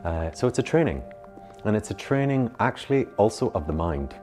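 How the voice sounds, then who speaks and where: A man with a Belfast accent, a meditation teacher, speaking slowly and with long pauses. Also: fairly low pitch, sing-song, a little mellow, faint music behind. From Northern Ireland. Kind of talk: reflective personal account.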